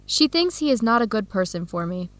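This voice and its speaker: A disheartened student in her early twenties, earnest and torn. Her voice sits fairly high and is recorded dry and close. She speaks in carefully enunciated radio drama dialogue. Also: sing-song, a little fast, no echo. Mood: torn, reflective. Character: disheartened 22-year-old Ethiopian-American woman student, earnest, torn. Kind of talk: radio drama acting, clear dialogue for language learners.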